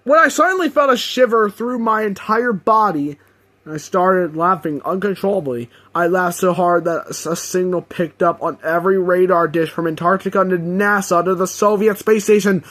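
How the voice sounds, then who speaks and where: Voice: medium pitch, steady tone, moderate pace, fairly bright tone, lightly acted. A performer voicing a gleeful, scheming demon villain in a theatrical, boastful and mocking storybook reading. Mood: gloating, excited. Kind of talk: dramatic character audiobook reading.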